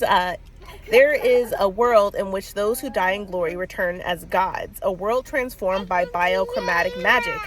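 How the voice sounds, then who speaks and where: Woman, 30s, congested with a cold, casual vlog chat, chatty and rambling. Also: medium pitch. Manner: chatty, rambling, many fillers and laughs. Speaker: book vlogger. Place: in a car.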